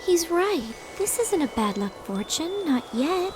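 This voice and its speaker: An actress voicing a gentle young woman who carries a heavy burden, speaking softly and earnestly. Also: very high-pitched, sing-song, a little slow, noisy background, heavily acted. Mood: resolved. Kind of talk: video game dub acting.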